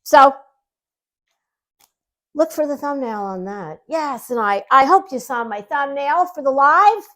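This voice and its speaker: Female online commentary host, high register, drawn-out slow delivery, snide sarcasm, informal gossip talk. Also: lively intonation, fairly bright tone. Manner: sarcastic, mocking. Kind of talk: casual gossip commentary monologue.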